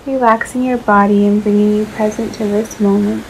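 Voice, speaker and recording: A woman leading a guided relaxation meditation in a soothing, unhurried manner, leaving long pauses between short phrases. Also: mid-range voice, fairly even intonation, gravelly, a little mellow, a little hiss or hum, poor audio.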